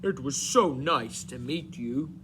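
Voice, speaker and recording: Man voicing a slow, courteous storybook owl. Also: mid-range voice, expressive, a bit bright. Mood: polite, agreeable. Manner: measured, emphatic, polite. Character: courteous, friendly male owl. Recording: clearly noisy background.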